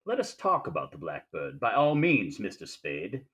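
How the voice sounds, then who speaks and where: A man's voice: lowish voice, huge pitch swings, normal speed, fairly bright tone. A man playing a genial, shrewd fat crime boss with pompous, courtly phrasing in a 1940s-style radio play. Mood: genial, measured.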